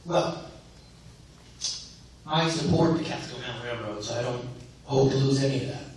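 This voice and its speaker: Man, hoarse voice, measured debate answers, booming echo, distant mic. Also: darkish tone. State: losing his voice. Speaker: mayoral candidate. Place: synagogue hall. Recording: echoey hall from far off, some noise.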